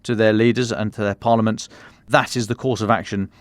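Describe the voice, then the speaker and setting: A man with a British accent giving a measured, explanatory news analysis briefing as a podcast commentator, with the odd colloquial turn. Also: fairly low pitch, level tone, dry recording.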